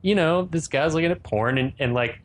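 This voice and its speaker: Male podcast co-host, dry jokey commentary, relaxed restarts, faint background rumble. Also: a little fast. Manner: wry, joking asides, restarts sentences. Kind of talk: casual movie recap chat.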